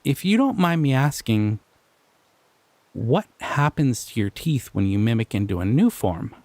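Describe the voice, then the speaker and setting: A man voicing a shy, gentle male slime creature in an audio roleplay, soft-spoken and hesitant, often trailing off. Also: medium pitch, very animated, measured pace, dry recording. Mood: gentle, curious.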